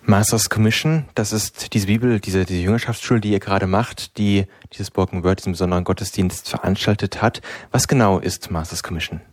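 A man hosting a youth program on a religious radio station, speaking in a clear, measured presenter manner into a studio microphone. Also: low voice, fairly even intonation, no echo. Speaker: radio host.

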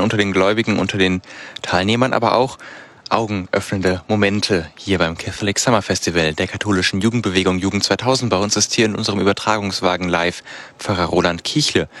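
A man hosts a live radio broadcast in a low-pitched voice. He presents and puts questions to his guest as part of the live programme. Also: fairly bright tone. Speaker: radio host. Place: outside-broadcast van.

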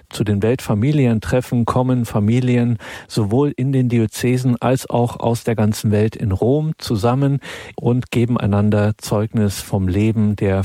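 Male presenter, low mellow voice, very dry studio sound, scripted introduction. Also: no echo. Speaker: radio host.

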